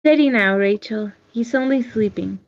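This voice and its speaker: Woman as resolute teen warrior girl, higher voice. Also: moderate pace, lo-fi sound, natural voice. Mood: reassuring, firm. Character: brave fifteen-year-old girl warrior, resolute and protective. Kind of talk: screenplay table read.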